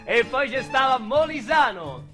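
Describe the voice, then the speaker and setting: An actor playing an excitable, streetwise Roman small-time robber, telling his story as a theatrical radio drama monologue in slangy dialect. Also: extremely high pitch, a little slow, exaggerated voice. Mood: excited, boastful. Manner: slangy, vulgar, theatrical storytelling with shouts and hushed asides. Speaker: actor narrator. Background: music under the voice.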